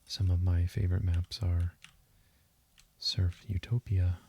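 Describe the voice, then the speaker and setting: Male gaming host, very mellow dark tone, slow soothing murmur, keyboard clicks and faint noise behind. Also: low-pitched. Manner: hushed and breathy, drifting into whisper; calm, deliberate delivery. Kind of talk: slow, soothing sleep-meditation game commentary. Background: keyboard typing and mouse clicking.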